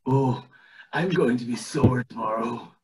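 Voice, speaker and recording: A man's voice: mid-range voice, unhurried, a little mellow, close to an everyday voice. A man voicing a scheming, smug elderly tycoon in an oily, pompous, theatrical way, in an amateur cartoon table read. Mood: scheming, grumbling. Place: indoors at home on a video call.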